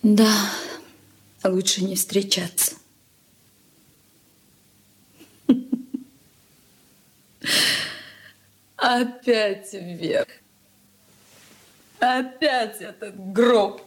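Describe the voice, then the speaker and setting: An actress playing a wretched, bitter young married daughter in a Soviet radio drama. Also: female voice, medium pitch, extremely slow, pauses often. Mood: weary.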